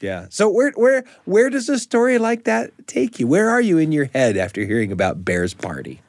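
A man hosting a radio talk show from a studio desk, friendly and chatty, with quick restarts as he talks. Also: sits high, wildly swooping pitch, no room sound.

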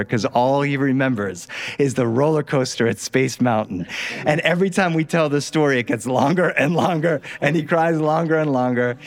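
A man telling a first-person story in an animated way, as a storyteller performing live. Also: mid-range voice. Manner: animated, acts out family dialogue.

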